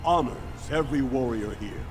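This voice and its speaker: An actor playing a proud, ceremonious dwarven proving master in a fantasy video game, declaiming formally. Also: male voice, mid-range voice, normal speed, background noise, natural voice. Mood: formal respect. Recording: long echoing arena reverb, music behind.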